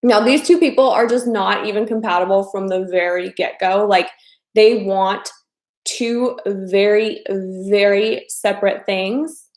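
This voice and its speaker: A woman's voice: medium pitch, unhurried, a little mellow, reverberant. A chatty woman in her thirties from the American Midwest, hosting a casual live stream as a dating coach and often repeating her phrases. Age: 30s.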